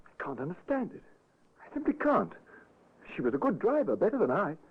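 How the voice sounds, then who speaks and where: A respectable husband shaken by grief in a vintage radio play. His husky voice swings widely in pitch and keeps faltering and restarting. The recording is thin and boxy. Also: wildly swooping pitch, phone-quality sound, heavily acted. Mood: shaken, grieving. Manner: halting, breaking off and repeating himself. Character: anxious, grieving suburban husband, outwardly respectable. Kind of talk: old-time radio drama acting.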